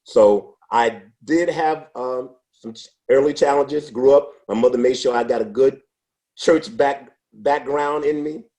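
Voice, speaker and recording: A man in his sixties, a podcast interview guest, telling his life story in an unhurried, reflective way with frequent restarts. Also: medium pitch, dark tone. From United States. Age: 60s. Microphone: podcast microphone.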